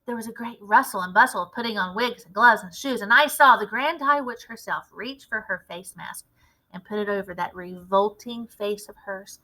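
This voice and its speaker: Bright-voiced woman reading a story aloud. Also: mid-range voice, normal speed, rough recording. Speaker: read-aloud teacher. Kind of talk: expressive storybook narration.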